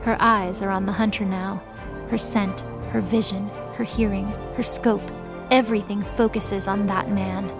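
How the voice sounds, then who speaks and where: A woman's voice: mid-range voice, steady tone, measured pace, over music. A woman giving scripted, dramatic narration as the narrator of an audio drama.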